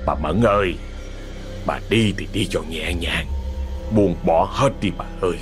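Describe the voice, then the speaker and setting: A man puts on a weary old village undertaker. He murmurs slowly and low, in a folksy drawl. Faint music plays under the audio story. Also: big pitch swings. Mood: coaxing. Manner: slow, murmuring, sighing.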